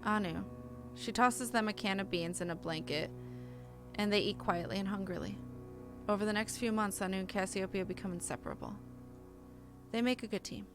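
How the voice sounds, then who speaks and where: A woman live-streaming a game, reading story text aloud expressively and chatting casually in between. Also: medium pitch. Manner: expressive reading mixed with chatty, self-interrupting reactions. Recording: music behind the voice. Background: game music.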